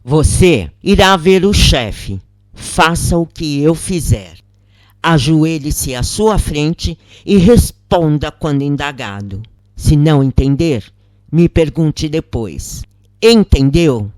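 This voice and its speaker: A narrator voicing a brisk, commanding male spirit guide who gives instructions in a story. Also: medium pitch, animated, a little mellow, no room sound.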